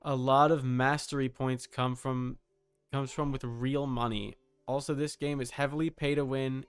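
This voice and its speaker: A man's voice: mid-range voice, level tone, normal speed, smooth, no echo, faint music behind. Casual man streamer, clean dry sound. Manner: casual, sweary. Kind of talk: casual livestream chat while making a game character.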